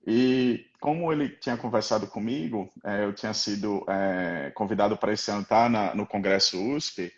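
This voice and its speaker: A man's voice: on the low side, normal speed, few pauses, dull audio. A man with a Rio Grande do Norte accent, a guest professor talking casually on a live stream, rambling with many fillers and tag questions. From Rio Grande do Norte, Brazil.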